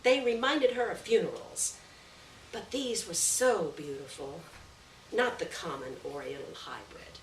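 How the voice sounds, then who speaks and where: A woman with a fairly low voice reads literary fiction aloud with deliberate, measured phrasing. She is heard at some distance from the microphone in an echoey room. There is faint noise behind her. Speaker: reader of a short story. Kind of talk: literary story reading, scripted narration. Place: roomy venue at a live reading.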